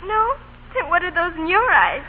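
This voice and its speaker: A woman's voice: very high voice, big pitch swings, normal speed. A young female performer playing a spunky, devoted little girl in a vintage radio drama. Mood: tender, wistful. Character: spunky, defiant little Southern girl, devoted to her father. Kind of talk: 1930s-40s radio drama acting. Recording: tinny, band-limited old radio broadcast.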